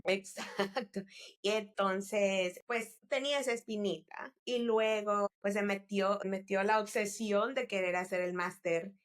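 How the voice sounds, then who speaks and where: A woman's voice: mid-range voice, steady tone, unhurried. Woman telling her career story. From El Salvador. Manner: thoughtful, restarts phrases, mixes English business terms. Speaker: guest entrepreneur. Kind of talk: interview conversation.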